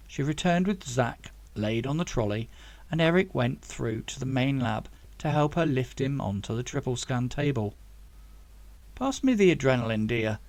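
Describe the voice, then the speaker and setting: A man's voice: mid-range voice, creaky, a little mellow, dry recording. A man reading a story aloud as scripted audiobook narration, as the author of the book.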